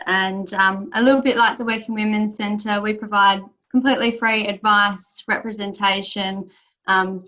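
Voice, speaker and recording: Bright, forceful woman. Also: medium pitch. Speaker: legal service coordinator, panelist. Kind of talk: self-introduction on a panel. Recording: slightly dull video-call audio.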